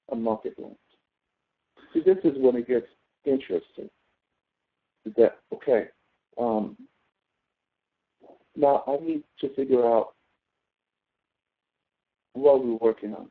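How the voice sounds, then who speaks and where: A man teaching as a professor in an informal tutoring walkthrough, explaining step by step and often checking in with "okay?". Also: medium pitch. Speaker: professor teaching an online class. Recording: thin phone-like audio.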